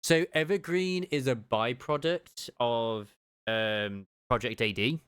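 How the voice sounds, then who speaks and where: A man's voice: mid-range voice, slow, a bit bright, clear, resonant tone, no room sound, studio quality. A man voicing a serious commander in a video game, asking terse, polite questions. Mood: grave, curious. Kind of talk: read-aloud video game dialogue.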